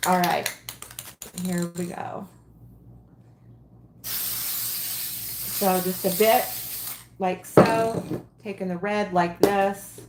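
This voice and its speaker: A woman's voice: fairly low pitch, roomy sound, noisy background. A woman in her late forties hosting a casual crafting livestream, talking in a rambling, chatty way with frequent ums and giggles. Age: 40s.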